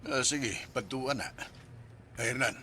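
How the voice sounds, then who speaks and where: An actor with a Filipino accent playing a gruffly kind middle-aged rural father in a radio drama. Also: mid-range voice, measured pace, background noise, natural voice. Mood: fatherly.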